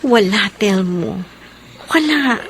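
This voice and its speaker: An actress playing an anxious, gentle young woman in an emotional, earnest radio drama performance. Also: medium pitch, lively intonation, slow, a little hiss or hum. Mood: apologetic, tender. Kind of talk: horror radio drama acting.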